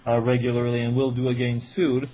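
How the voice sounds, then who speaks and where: A man's voice: medium pitch, completely flat delivery, a little fast. A man in his forties from Israel, answering interview questions with many fillers and restarts, and a slight cold. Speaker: guest, writer and editor. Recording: dull lo-fi sound with faint noise.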